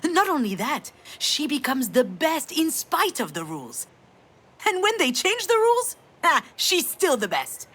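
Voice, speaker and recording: A woman playing a sardonic, self-blaming yet tender mother in a dramatic video game, frank and emotionally expressive. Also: high-pitched, unhurried, exaggerated voice. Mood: wry enthusiasm. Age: adult.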